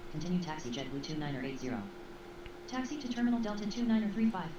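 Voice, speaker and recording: A woman playing a brisk airline pilot in a flight simulator, reading back instructions in a clipped, procedural way over aircraft radio. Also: lowish voice, big pitch swings. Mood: businesslike. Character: brisk female airline pilot answering the tower. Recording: muffled, distant, echoey noisy radio.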